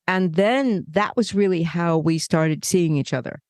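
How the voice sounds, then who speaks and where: An older woman co-hosting a podcast, telling stories in a lively, conversational way. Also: on the low side, unhurried, dry recording, clean studio sound. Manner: animated storyteller, acts out remembered dialogue. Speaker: podcast co-host. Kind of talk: casual conversation.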